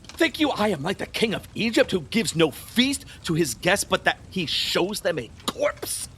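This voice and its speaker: A man's voice: high voice, sing-song, moderate pace, fairly bright tone, slight background noise. An actor playing a decadent, superstitious, capricious king in a theatrical audio drama, volatile in manner. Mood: alarmed. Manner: volatile, swooping between musing and alarmed exclamation.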